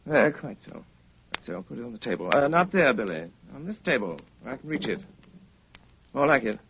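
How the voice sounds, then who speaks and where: An actor playing a cool, sardonic, commanding gentleman detective in a 1930s radio drama, speaking with clipped, dryly polite authority. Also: mid-range voice, big pitch swings, fairly quick. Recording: muffled, noisy 1930s radio recording.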